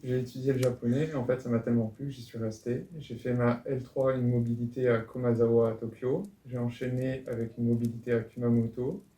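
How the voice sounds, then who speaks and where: Relaxed man in his twenties, echoey room. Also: mid-range voice, fairly quick, hardly any pauses. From France. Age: 20s. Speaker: master's student. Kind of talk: casual podcast chat. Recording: echoey room with faint background hum.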